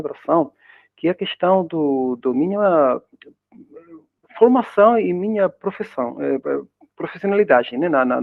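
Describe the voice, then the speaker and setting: A man's voice: fairly high pitch, vocal fry, no echo. Spanish man speaking Portuguese over tinny call. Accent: Spanish-accented Portuguese, partly Brazilian. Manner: informal, frequent tag questions, hesitant restarts. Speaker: guest lecturer. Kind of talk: academic web conference talk. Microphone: video call. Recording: dry tinny video call audio.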